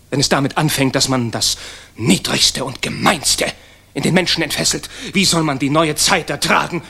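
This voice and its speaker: Husky, intense, anguished young officer. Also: male voice, mid-range voice, bright, forceful tone, full character voice. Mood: troubled, questioning. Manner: earnest, halting, intense. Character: anguished, idealistic young German officer, sincere and disillusioned. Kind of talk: 1960s German radio play acting.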